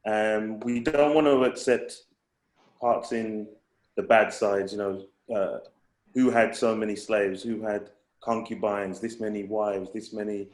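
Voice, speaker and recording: A man's voice: lowish voice, little pitch movement, a little slow. Reflective man in an echoey room. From England. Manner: reflective, rambling with restarts. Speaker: guest, hip hop artist. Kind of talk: casual conversation.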